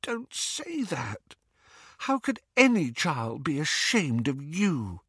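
A man's voice: a little high, wildly swooping pitch, extremely slow, gravelly, no room sound, studio quality, voice pushed up, full character voice. A man voicing a caring, distraught teenage girl who pleads tearfully in an audiobook story. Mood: tearful pleading.

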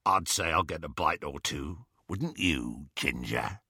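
A man acts out a storybook werewolf, crude and predatory. The voice is a raspy growl, slow and snarling, with menace under every word. It sounds close and dry. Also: fairly low pitch, expressive, pushed and bright, no echo, full character voice. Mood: gloating menace.

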